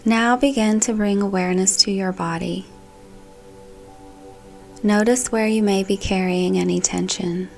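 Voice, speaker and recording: A woman narrating a scripted guided meditation in a calm, gentle, soothing manner. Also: medium pitch, a little slow, gravelly, long pauses. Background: background music.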